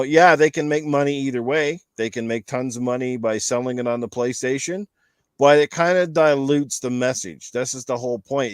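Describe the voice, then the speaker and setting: A man's voice: mid-range voice, smooth, no echo, studio quality. A man hosting a casual gaming talk show, talking in a rambling, emphatic, explanatory way. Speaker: podcast host.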